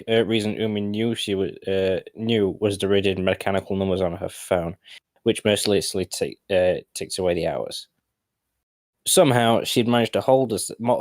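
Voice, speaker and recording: Low-voiced man, halting amateur narrator. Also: a little mellow, no echo. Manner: halting, often stumbles and corrects himself. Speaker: narrator of fan fiction storytime. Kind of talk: amateur story narration with casual asides.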